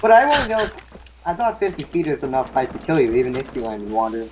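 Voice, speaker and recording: A young man in a gaming video, switching between casual chat and halting reading aloud, stumbling over words. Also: mid-range voice, sing-song, background noise. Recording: music playing over the voice.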